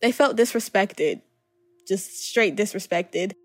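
A woman's voice: mid-range voice, slow, soft background music. A young woman, a student, talking casually as she gives her view in an interview.

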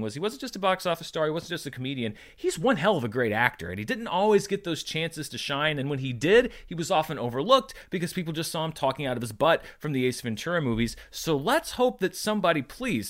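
Male show host, slightly high voice, highly varied intonation, enthusiastic and conversational solo commentary with emphatic stresses. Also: a bit bright. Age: 30s to 40s. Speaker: host of a box office recap show.

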